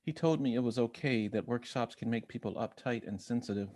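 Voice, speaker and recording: An American man reading a personal essay aloud in measured literary narration, voicing bits of quoted dialogue as he goes. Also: mid-range voice, darkish tone. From Chicago, United States. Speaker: guest essayist reading own work.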